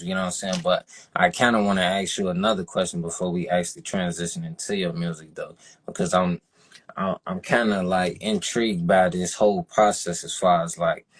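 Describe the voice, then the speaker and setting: A man's voice: low-pitched, slightly rough. A man hosting a radio interview and asking rambling, laid-back questions full of slang and fillers. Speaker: radio show host and rapper.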